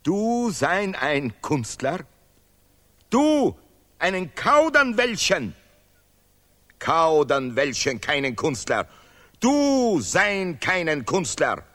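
An actor playing a stubborn, contemptuous Nobel laureate scholar in a stylized radio play, declaiming broken German in emphatic, repeated phrases. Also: male voice, on the high side, big pitch swings, very slow, long pauses, fairly bright tone, long reverb tail, exaggerated voice. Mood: mocking. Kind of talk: stylized avant-garde radio play acting.

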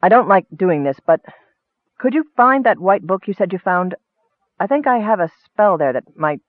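A man voicing a troubled, resolute male elf in a fantasy audiobook, measured and formal. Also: sits high, animated, no room sound, phone-quality sound. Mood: reluctant.

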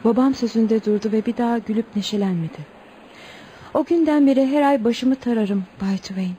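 A woman's voice: fairly high pitch, normal speed, warm tone, a little hiss or hum, lightly acted. An actress playing a sorrowful young Eskimo woman in a radio play, with plaintive, emotive delivery. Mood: wistful, regretful. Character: sorrowful twenty-year-old Eskimo woman, pleading and recounting lost love. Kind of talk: radio theatre acting.

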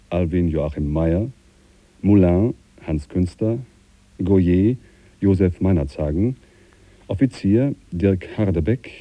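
A male announcer reads formal closing credits at a slow, measured pace. His voice is very dark and recorded dry, close to the listener. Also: sits low, no echo. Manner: measured, list-like reading. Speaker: radio announcer.

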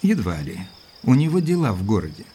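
Casting: a restrained, doubting gentleman detective-narrator, fairly low voice, measured delivery, dramatised audiobook. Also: huge pitch swings, slight background noise. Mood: reserved. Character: reserved, sceptical gentleman investigator telling his story. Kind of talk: dramatised first-person narration and dialogue.

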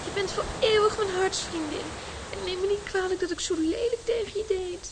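Excitable, high-pitched little girl. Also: fairly quick, heavy background noise. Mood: excited, affectionate. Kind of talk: children's audio drama acting.

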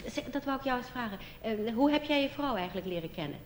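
A woman's voice: on the high side, animated, fast talker, echoey room, a little hiss or hum. A woman performing a conversational comedy dialogue as a cabaret stage partner.

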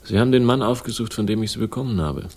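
An actor in a radio crime play playing a cynical, stubborn investigator, speaking in a laconic, sardonic way with a gravelly voice. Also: male voice, on the low side, fast, darkish tone. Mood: curt, defiant. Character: cynical, stubborn male hard-boiled crime investigator, wry and defiant. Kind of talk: 1960s German radio play acting, dialogue and narration.

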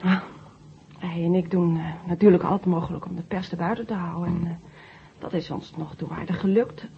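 A woman acting in a 1970 radio drama as a guarded, worried astronaut's wife, speaking haltingly and with feeling on an old recording. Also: on the low side, steady tone, vocal fry, highs cut off, slight background noise. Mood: distressed, bitter.

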